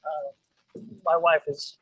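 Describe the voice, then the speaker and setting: Man, higher-pitched, hesitant, self-deprecating, casual chat, dry recording. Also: monotone, very slow, fairly bright tone, slightly rough, no room sound, dull audio. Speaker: voice actor.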